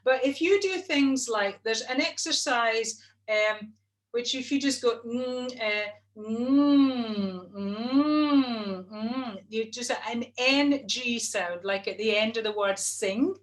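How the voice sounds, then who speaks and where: Middle-aged woman vocal coach, talks through exercises on a webcam call, a bit high-pitched. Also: slow pace. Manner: chatty and instructive, demonstrates hums. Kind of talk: explaining voice technique. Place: home room, video call. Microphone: video call webcam.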